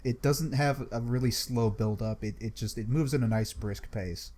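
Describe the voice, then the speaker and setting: A man's voice: medium pitch, moderate pace, mellow. A man talking casually as a panelist on a discussion podcast. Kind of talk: casual book club discussion.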